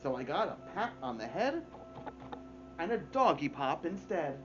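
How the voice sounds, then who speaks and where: A man in his thirties hosting story time, reading a children's book aloud with theatrical emphasis and expressive pauses. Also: fairly high pitch, lo-fi sound, music in the background. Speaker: story-time host and reader. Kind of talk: animated children's audiobook-style read-aloud. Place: small furnished room at home, indoors.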